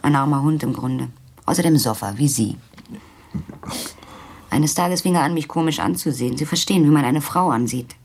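Low-voiced, disillusioned young woman. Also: moderate pace, creaky, pauses often, a little mellow, a little hiss or hum. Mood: bitter, confiding. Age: young adult. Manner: sardonic, confiding. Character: disillusioned, talkative young kept woman, a hard-drinking failed dancer. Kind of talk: German radio play dialogue.

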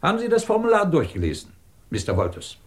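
A man's voice: sits high, huge pitch swings, quick pace. A man acting a middle-aged, imperious and sardonic British professor who runs a research department, in a commanding 1950s German radio drama style. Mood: stern, solemn. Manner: commanding, clipped, probing, sardonic.

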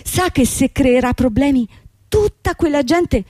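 A woman's voice: sits high, animated, measured pace, dark tone, no echo, clean studio sound. An actress playing a young woman company boss in a radio drama, formal, insistent and manipulative. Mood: threatening. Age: young adult. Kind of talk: theatrical radio drama acting.

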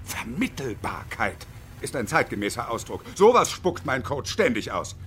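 A man's voice: mid-range voice, sing-song, normal speed, fairly bright tone, slight background noise. Agitated, sarcastic man in his fifties. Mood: bitter, sarcastic. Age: 50s. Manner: agitated, biting, bitterly ironic. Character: desperate, sarcastic unemployed engineer in his fifties. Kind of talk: radio drama acting.

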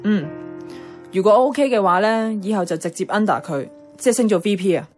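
A woman's voice: mid-range voice, animated, a little fast, a little mellow, close to an everyday voice. An actress playing a blunt, bossy young career woman in a radio drama, with a colloquial Hong Kong Cantonese way of speaking. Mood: reflective, unsure. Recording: clear music playing behind the voice.

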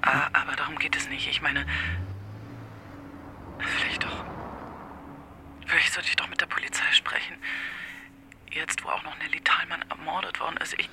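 Breathy, halting, distraught woman. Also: low-pitched, fairly even intonation. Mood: nervous, hesitant. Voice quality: breathy, whispery. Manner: halting, hesitant. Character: distraught, frightened young woman confiding a secret. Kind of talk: naturalistic radio drama acting.

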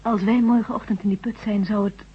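Husky young woman doctor. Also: medium pitch, fairly even intonation, a little fast. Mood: matter-of-fact. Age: young adult. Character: enterprising, cool-headed young female doctor. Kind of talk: Dutch radio drama acting. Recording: dull old radio recording.

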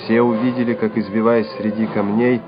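A man's voice: fairly low pitch, flat delivery, a little fast. A man narrating a literary radio play in a dramatic storytelling style. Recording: dull lo-fi radio recording over music.

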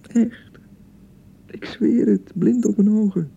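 A man acting an excitable young newlywed husband in a radio drama, by turns blustering and awkwardly pleading. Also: sits high, slow pace, creaky, husky, dull audio, heavily acted. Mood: defensive, placating. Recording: thin, band-limited 1990 radio broadcast.

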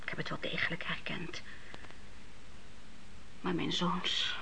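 An actress playing a God-fearing older widowed mother in a biblical radio play, speaking solemnly and gravely in grief. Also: sits low, little pitch movement, slow pace, pushed and bright, slight background noise, exaggerated voice, poor audio. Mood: grief-stricken, solemn. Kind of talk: Dutch radio play acting.

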